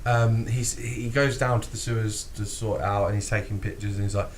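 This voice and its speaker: Sweary, animated British man chatting. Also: on the low side, fairly quick, very noisy. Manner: animated, sweary, laughs often, acts out quotes. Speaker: podcast co-host. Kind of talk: casual podcast chat.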